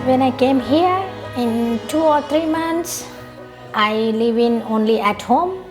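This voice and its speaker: A woman from India, describing her experience in halting, simple English. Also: high-pitched, over music. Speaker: interviewee. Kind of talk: personal testimony.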